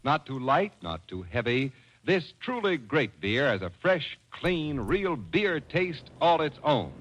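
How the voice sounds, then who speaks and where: A man's voice: a little high, big pitch swings, slow, fairly bright tone, lo-fi sound. A man announcing a 1940s radio commercial in emphatic, polished sales patter. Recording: noisy old 1940s radio broadcast.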